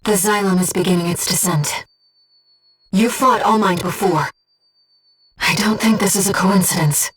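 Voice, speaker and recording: Casting: actress for a disembodied female mission guide in a mech game; soft, breathy, measured, earnest and devoted, medium pitch, slightly distant sound. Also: natural voice. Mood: composed, focused. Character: calm, devoted disembodied female voice in a pilot's head. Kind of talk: video game voice acting, tactical briefings.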